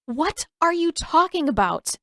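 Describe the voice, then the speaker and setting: A woman voicing a feisty, defensive teenage girl in an audiobook, huffy, indignant and quick to snap. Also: extremely high pitch, sing-song, bright, forceful tone, dry recording, clean studio sound, voice pushed up, full character voice.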